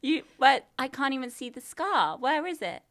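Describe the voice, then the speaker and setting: A woman, bluntly chatting on a podcast and telling a story in halting pieces. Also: high voice, very animated, a bit bright. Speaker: podcast co-host. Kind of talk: casual chat.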